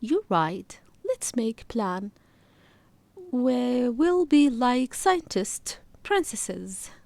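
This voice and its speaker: An eager, adventurous young storybook girl, acted out by a woman, talks in a high voice with big swoops of pitch. She is excitable and teasing, quick to exclaim. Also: gravelly, dry recording, exaggerated voice. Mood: braver.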